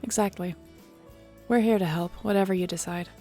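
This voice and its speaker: A woman acting in an audio roleplay as a warm young shapeshifter clan leader, talking casually and sincerely. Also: mid-range voice, steady tone, brisk, faint music behind, lightly acted. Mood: easygoing, encouraging. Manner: casual, sincere, conversational storytelling.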